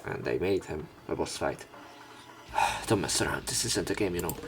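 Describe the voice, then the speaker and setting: A man's voice: sits low, expressive, moderate pace. A man doing a let's play, reading a visual novel aloud as dramatic narration mixed with casual commentary. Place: voice-over on game footage. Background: faint game music.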